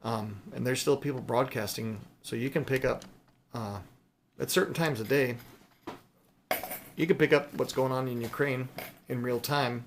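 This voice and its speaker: A man with a medium-pitched voice talks informally, as if thinking aloud. He rambles casually through an unscripted hobby video, with some noise behind him. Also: moderate pace, long pauses. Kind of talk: casual unscripted chat to viewers while showing items.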